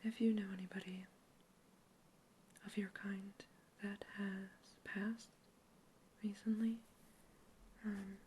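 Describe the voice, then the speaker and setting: A woman's voice: medium pitch, slight background noise, close to an everyday voice, poor audio. A woman whispering as a curious alien scientist. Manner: soft unvoiced whispering throughout; clinical, matter-of-fact questioning. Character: curious female alien scientist observing a human. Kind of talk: ASMR roleplay.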